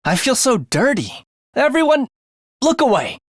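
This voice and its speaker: A man's voice: very high-pitched, huge pitch swings, normal speed, dry recording, clean studio sound, exaggerated voice. An actor playing a playful, morbid young male assassin in a video game, with breezy, teasing speech that turns theatrical. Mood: flustered, thrilled. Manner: breezy, teasing slang turning breathy and theatrical. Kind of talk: English video game voice acting.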